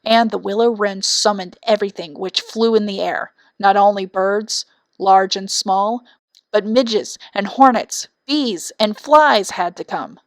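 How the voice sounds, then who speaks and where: A woman's voice: mid-range voice, measured pace, dry recording. A woman reading a scripted children's fairy tale as an audiobook narrator.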